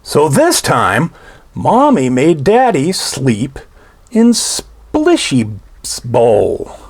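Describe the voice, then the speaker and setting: A man's voice: mid-range voice, vocal fry, a little mellow. A man reading a children's storybook aloud in a theatrical, playful way, drawing out his phrases.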